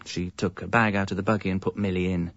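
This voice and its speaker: A man's voice: sits low, little pitch movement, quick pace, fairly bright tone, no room sound, muffled recording. An English man playing a hapless, evasive young father, narrating and talking in a radio comedy with a hesitant, wry manner. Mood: wry, anxious. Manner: hesitant, self-justifying, wry. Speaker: narrator and lead character.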